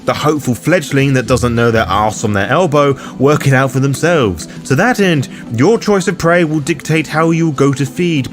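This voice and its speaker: A man presenting a scripted roleplaying guide in a theatrical, formal manner with cheeky asides. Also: medium pitch. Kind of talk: scripted narration, dramatic explainer. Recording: music under the voice. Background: background music.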